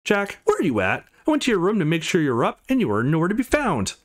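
A man's voice: high-pitched, wildly swooping pitch, quick pace, pitched above the performer's own voice, heavily acted. A man voicing a worried, caring, motherly woman in a visual novel, speaking earnestly and affectionately.

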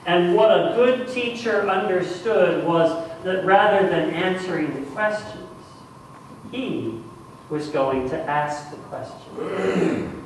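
A middle-aged man preaching a sermon in a church, deliberately, with dramatic pauses and repeated phrases for emphasis. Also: sits high. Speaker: pastor. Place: church sanctuary, speaking at the front. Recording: echoey church, distant mic, faint noise.

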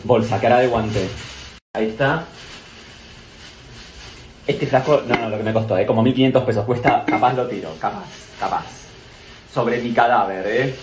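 A man's voice: medium pitch, normal speed, pauses often, echoey room. A man in his thirties with an Argentine accent, chatting casually to his followers in a dramatic, exclamatory way and often repeating himself. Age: 30s. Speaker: social media vlogger. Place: indoors at home, mostly a kitchen. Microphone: phone, at varying short distance. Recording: noisy handheld phone recording.

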